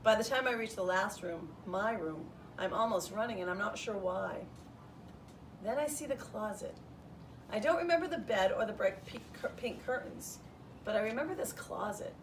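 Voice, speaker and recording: A woman reads a first-person children's story expressively, like a primary teacher. Her mid-pitched voice has a creaky edge. The mic sits at a distance, and faint noise runs underneath. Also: lively intonation, normal speed. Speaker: third grade teacher reading aloud.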